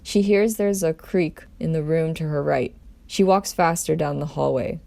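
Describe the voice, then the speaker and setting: A woman's voice: low voice, moderate pace, vocal fry, darkish tone. A woman doing an amateur table read as storyteller, narrating a script in her own voice.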